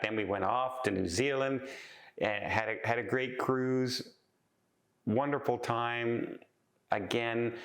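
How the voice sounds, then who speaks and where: A man talking casually in a vlog, chatty and heartfelt, with a calm voice and frequent restarts. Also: lowish voice. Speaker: travel vlogger. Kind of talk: casual reflective vlog talk.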